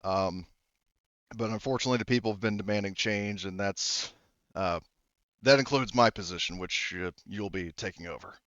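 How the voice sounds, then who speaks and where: Man playing a low-voiced, weary colony sheriff. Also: moderate pace, a bit bright, dry recording, muffled recording, close to an everyday voice. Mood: weary, resigned. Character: weary colony sheriff, middle-aged man, frank and businesslike.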